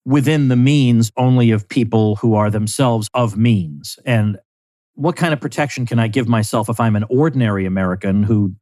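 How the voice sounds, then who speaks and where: Measured male podcast host. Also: mid-range voice, warm tone, dry recording. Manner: measured, clarifying questions. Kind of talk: interview questions.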